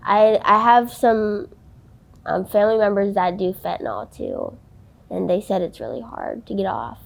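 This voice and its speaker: A woman of nineteen in a candid interview, speaking softly and haltingly with many ums and likes. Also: medium pitch, gravelly. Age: young adult. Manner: hesitant, many ums and likes, soft-spoken. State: tearful, voice breaking.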